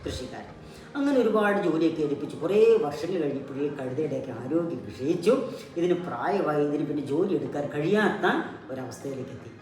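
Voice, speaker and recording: A woman's voice: low voice, lively intonation, a little fast, a little mellow. A woman hosting a puppet show and telling a story to children in a teacherly way, with questions and repeated key words. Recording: very echoey, distant mic, background music.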